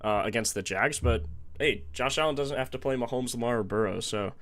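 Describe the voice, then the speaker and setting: A young man with a slightly raspy, sore-throated voice, hosting a casual live stream, thinking aloud and greeting viewers in the chat. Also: medium pitch, brisk, a bit bright. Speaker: sports betting stream host.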